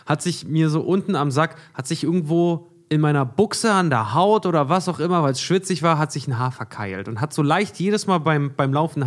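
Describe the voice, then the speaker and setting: A man in his thirties with a slightly raised voice, co-hosting a casual podcast chat. He tells a long story in a loose, rambling way and laughs along as he talks. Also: a little high. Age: 30s. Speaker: podcast co-host.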